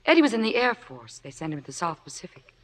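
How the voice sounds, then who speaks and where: An actress playing a demure, earnest young theatre fan, humble and polite, in an old radio drama. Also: female voice, lowish voice, sing-song, fast. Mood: wistful. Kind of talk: 1940s-50s radio drama acting. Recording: old radio broadcast recording.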